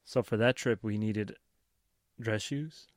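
A man's voice: lowish voice, takes it slowly, no room sound, clean studio sound. A man co-hosting a podcast, chatting casually and sharing practical tips. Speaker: podcast co-host.

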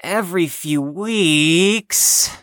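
A woman's voice: lowish voice, extremely slow, fairly bright tone, dry recording, studio quality. A woman playing a prim, wordy, anxious patient who speaks formally and precisely in an audio drama. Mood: anxious, puzzled.

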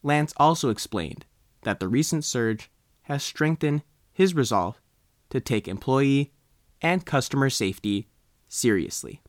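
A man's voice: medium pitch, animated, measured pace, no echo, studio quality. An American man reading a scripted news article aloud as a reporter.

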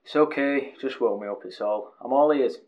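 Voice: medium pitch, normal speed, dull audio, close to an everyday voice. A performer playing a gossipy, grumbling male railway worker in an amateur audio drama. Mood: sleepy, doubtful. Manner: colloquial, conversational. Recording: faint background noise and ambience.

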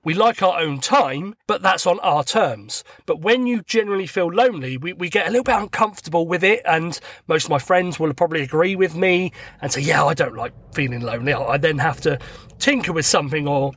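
A middle-aged man from the UK hosting his own podcast, his voice croaky from a cold, chatting and joking at himself while sat in his parked truck. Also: a little high, fairly quick, a bit bright, dry recording. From United Kingdom. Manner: chatty, self-deprecating, joking. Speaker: podcast host. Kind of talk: casual solo podcast monologue. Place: inside his parked truck cab by a main road.

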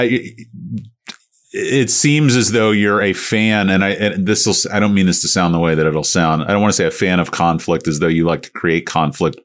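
A man with a very deep voice interviews a guest in a relaxed, conversational way. He wanders through his questions with many fillers and false starts. He sounds middle-aged. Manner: rambling, many restarts and fillers. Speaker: podcast host. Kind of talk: casual interview.

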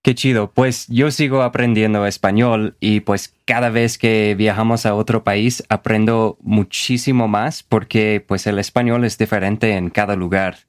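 Un hombre de Estados Unidos cuyo idioma nativo es el inglés, co-presentador de un podcast, que habla español de forma relajada y tranquila. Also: male voice, fairly low pitch, measured pace, hardly any pauses, no room sound, studio quality. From United States. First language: English. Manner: relaxed, easygoing, sprinkles in Mexican slang. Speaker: podcast co-host. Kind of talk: casual chat.

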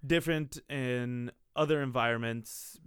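Smooth-voiced young man. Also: medium pitch, a little slow, dry recording, clean studio sound. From Colombia. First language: Spanish. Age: young adult. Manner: chatty, many "like" fillers. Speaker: guest. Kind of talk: casual interview chat.

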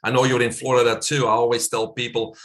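A man's voice: mid-range voice, fast. Guest, male, 50s, Belgian accent; laid-back storyteller with frequent uh's and laughs. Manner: relaxed, chatty, laughs often, many uh fillers. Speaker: guest, physician. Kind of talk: casual storytelling interview.

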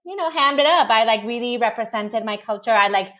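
A woman's voice: fairly high pitch, lively intonation, a little fast, fairly bright tone. A woman from Mexico, a guest on a virtual interview, talking casually with lots of fillers and restarts over a video call. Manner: chatty, many fillers and restarts. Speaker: guest, body positivity creator.

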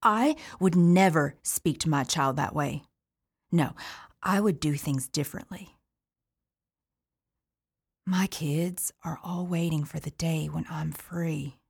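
A woman's voice: low-pitched, vocal fry, husky, full character voice. Woman playing a weary imprisoned mother. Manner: weary, resentful, measured. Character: imprisoned woman, a mother worried for her kids. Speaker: incarcerated mother. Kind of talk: monologue in an audio play.

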